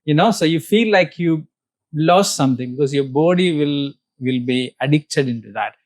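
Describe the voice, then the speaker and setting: A man's voice: fairly high pitch, animated, moderate pace, no room sound. A man from Kerala with a Malayali-accented Indian English, a native Malayalam speaker, patiently explaining Ayurveda with examples as an interview guest. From Kerala, South India.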